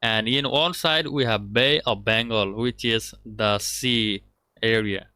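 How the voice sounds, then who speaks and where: A man from Bangladesh hosting a casual online practice conversation, speaking slowly and politely, with a lot of repetition. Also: fairly low pitch, pushed and bright, clean tone, dry recording, clean studio sound. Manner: slow, repetitive, polite phrasing. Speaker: conversation host.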